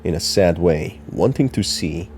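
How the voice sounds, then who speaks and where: A man's voice: low voice, animated, measured pace, darkish tone, slight background noise. A man reading scripted explainer narration about horror story lore.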